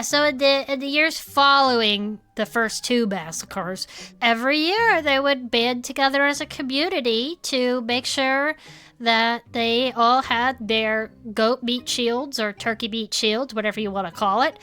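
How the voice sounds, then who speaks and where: An actress playing an earnest small-town cryptid club member in an audio drama, telling local monster lore in a folksy, suspenseful way. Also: female voice, a little high, unhurried, sharp tone, clear, resonant tone. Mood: earnest, explanatory. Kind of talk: conversational audio fiction storytelling.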